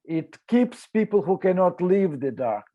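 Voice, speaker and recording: An older man, a novelist on a panel, speaking slowly and haltingly with many fillers over a video call. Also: sits high, big pitch swings, highs cut off. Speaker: author on a panel.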